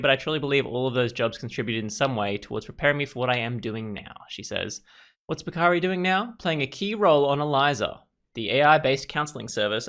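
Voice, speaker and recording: A man's voice: medium pitch, a bit bright. A man hosting a gaming video, casually commenting and reading game text aloud with conversational asides.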